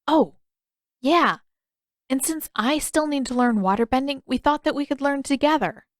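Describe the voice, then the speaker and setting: Woman doing an eager, high-pitched young boy. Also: moderate pace, no echo, studio quality, lightly acted.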